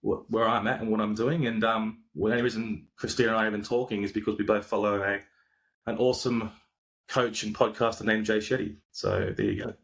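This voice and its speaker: An Australian man with a lowish voice, chatting in a relaxed, meandering way. He pauses often with fillers and restarts his sentences as he tells his story. It sounds like a video call. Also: gravelly, rough recording. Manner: laid-back, rambling with frequent fillers and restarts. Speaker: podcaster and coach. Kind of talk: casual interview chat.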